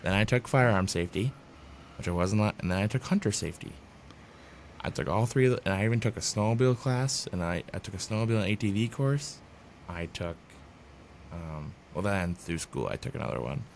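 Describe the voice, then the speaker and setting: A man co-hosting a casual podcast, reading points aloud plainly and chiming in with quick agreement. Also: lowish voice, expressive, slight background noise. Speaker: podcast co-host.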